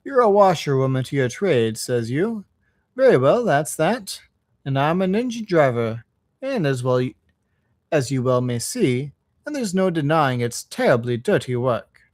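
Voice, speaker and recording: A man's voice: fairly high pitch, big pitch swings, unhurried, a little mellow, dry recording, studio quality. A man voicing a burly, kindly working-class engine driver from a storybook, folksy, reflective and good-natured. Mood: good-natured bargaining.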